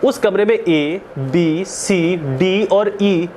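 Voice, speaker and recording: High-pitched Pakistani man giving a lecture. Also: very slow, darkish tone, a little hiss or hum. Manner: didactic, repeats and rephrases key terms. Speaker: law lecturer. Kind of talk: explanatory lecture mixing Urdu with English terms.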